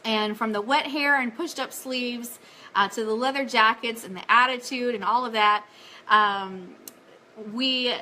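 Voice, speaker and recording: A woman with a high, bright voice hosts a casual live fan show. She is chatty and enthusiastic, switching easily into reading announcements. There is some noise behind her on the stream. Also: steady tone, a little slow. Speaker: host of an Elvis fan radio show.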